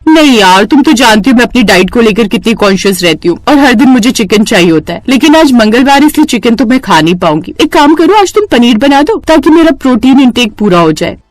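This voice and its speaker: Cartoon housewife employer, played by a female narrator: young, raised pitch, breezy, bossy yet friendly. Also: big pitch swings, a little fast, few pauses, dry recording, faint music behind. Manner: chatty, bossy but friendly. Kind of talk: Hindi cartoon story dialogue.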